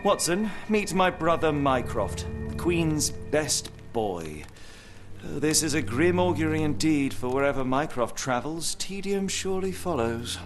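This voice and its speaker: A man's voice: on the high side, expressive, a little slow, music in the background. A man with a British accent playing a sardonic, intense detective with theatrical, cutting speech in a video game. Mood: mocking. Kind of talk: scripted video game cutscene acting.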